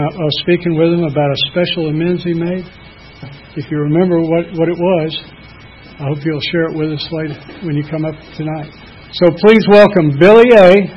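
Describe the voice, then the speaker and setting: A man chairing a recovery meeting, reading a set passage in a measured way and then introducing the speaker. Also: a little high, a little hiss or hum. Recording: muffled lo-fi recording.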